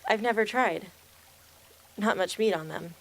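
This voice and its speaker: A woman's voice: mid-range voice, measured pace, poor audio. A woman acting in an amateur radio drama as a guarded, blunt teenage girl archer. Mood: dry, guarded. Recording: faint background noise.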